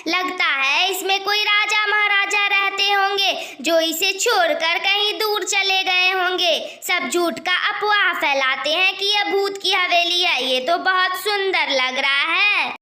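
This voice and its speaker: Devoted, practical cartoon wife, played by a woman: very high, bright, earnest delivery. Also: unhurried, few pauses, heavily acted. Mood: admiring. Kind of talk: Hindi cartoon story dialogue. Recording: roomy echo.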